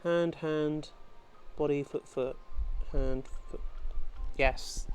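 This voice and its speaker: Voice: mid-range voice, steady tone, very slow, a little mellow, soft background music. A gaming host giving casual gameplay commentary, thinking aloud through each step.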